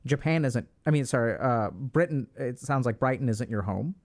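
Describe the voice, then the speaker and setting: A man's voice: medium pitch, expressive, moderate pace. A man hosting a casual conversation, speaking directly and confidently while he thinks aloud. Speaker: host.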